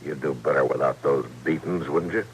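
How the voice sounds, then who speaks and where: An actor playing a calm, weary American frontier marshal in an old radio Western, speaking in a measured, terse, quietly persuasive way. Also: male voice, a bass voice. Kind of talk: old-time Western radio drama acting. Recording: noisy vintage radio recording.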